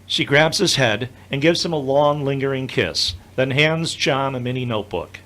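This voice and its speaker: A man's voice: medium pitch, normal speed, a bit bright. A man reading scripted scene narration for an audio drama.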